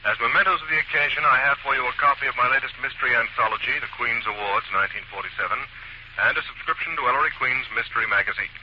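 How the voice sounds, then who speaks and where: An American man playing a confident, pedantic detective in a 1940s radio mystery, speaking in a deliberate, declamatory way. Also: medium pitch, lightly acted. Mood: genial, formal. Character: confident male amateur detective, precise and pedantic. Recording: muffled, very noisy vintage radio recording.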